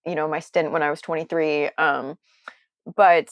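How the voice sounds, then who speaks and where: Young adult woman, lowish voice, interview guest, candid, earnest, meandering, dry close recording. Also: little pitch movement, moderate pace, no echo. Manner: meandering, earnest, often circles back mid-sentence.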